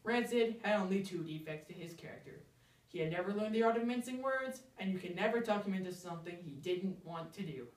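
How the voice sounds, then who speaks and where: A storyteller delivers comic first-person narration with theatrical emphasis, at a middling pitch. The voice sits a bit back from the microphone in a roomy, echoing space. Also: animated, a little fast. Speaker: storyteller reciting a humorous story. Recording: echoey room, distant microphone.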